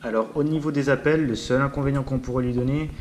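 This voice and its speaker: A man's voice: mid-range voice, steady tone, fast talker, a little mellow, soft background music. A man giving an informal spoken product review in a hesitant, matter-of-fact way, as a gear vlogger.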